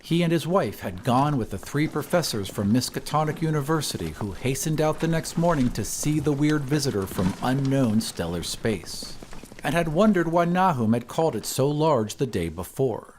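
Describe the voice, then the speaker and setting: A man reading a horror story as scripted dramatic narration, in a measured, literary storytelling manner. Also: mid-range voice, expressive, darkish tone, a little hiss or hum. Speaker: narrator of an audio drama podcast. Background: ambient sound effects.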